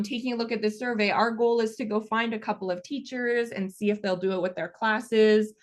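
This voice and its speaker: A woman hosting an online session for students, walking them through a virtual classroom in a chatty, upbeat way over a video call. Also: mid-range voice. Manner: upbeat, chatty, with fillers and restarts. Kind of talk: casual presenting to students.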